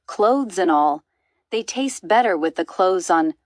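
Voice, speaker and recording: A woman's voice: fairly low pitch, animated, a little slow, no room sound, clean studio sound. Woman voicing a gloating, greedy enormous crocodile. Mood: sly, smug. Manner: gloating, savouring its words. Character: enormous greedy boastful crocodile, gleefully wicked.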